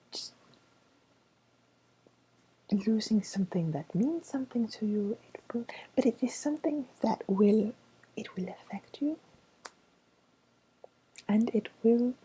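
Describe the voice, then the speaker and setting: A woman playing a soft-spoken fortune teller in an ASMR role-play, with a made-up foreign accent and a gentle, hesitant, whispery delivery. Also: mid-range voice, husky, poor audio. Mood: grave, hesitant. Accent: made-up, indeterminate foreign. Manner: slow, hesitant, gently reassuring, with pauses. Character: softly spoken mystical fortune teller woman reading tarot cards.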